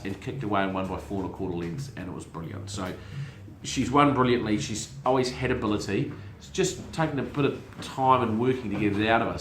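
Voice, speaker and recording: A middle-aged man with a lowish voice, talking through the week's racing in a loose, chatty way. He often stumbles and repeats words while he hunts for the next one. The room gives his voice a clear echo. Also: fairly bright tone, slight background noise. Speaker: racing show host and syndicate manager. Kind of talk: casual family chat show. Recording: roomy echo.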